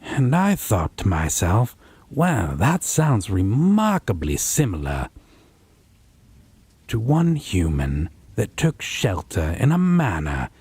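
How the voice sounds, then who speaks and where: A man playing a possessive, wounded vampire in a roleplay audio drama, speaking with mocking refinement, theatrical phrasing and a breathy, husky voice. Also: fairly low pitch, huge pitch swings, takes it slowly, a little mellow, dry recording, exaggerated voice. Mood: brooding, pointed. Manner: pedantic, sardonic, theatrical, lingering phrasing.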